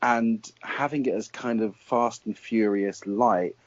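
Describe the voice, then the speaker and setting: Low-voiced man, dry opinions. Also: steady tone, slow pace, no room sound. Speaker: film blogger guest. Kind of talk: casual panel discussion. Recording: dull lo-fi sound, dry.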